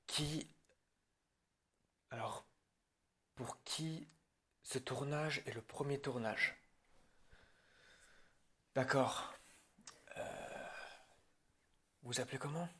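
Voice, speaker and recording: A man's voice: mid-range voice, extremely slow, long pauses, fairly bright tone, husky. A man speaking informally and hesitantly in an improvised ASMR roleplay, as a film director addressing his crew.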